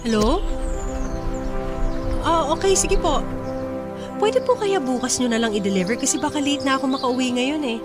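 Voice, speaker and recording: A carefree young mother in a Filipino radio drama, very high and swooping in pitch. She is breezy and excitable, waving off every worry, with loud music playing underneath. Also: moderate pace, pauses often. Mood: upbeat. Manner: breezy, excitable, playfully dismissive. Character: carefree young single mother, impulsive shopaholic friend. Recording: loud music bed behind the voice.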